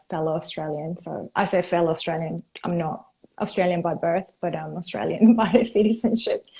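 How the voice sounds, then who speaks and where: A woman from Venezuela, a company founder, chatting as a guest on a live video call, enthusiastic and quick, laughing often. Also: lowish voice, darkish tone. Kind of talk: casual live-stream chat.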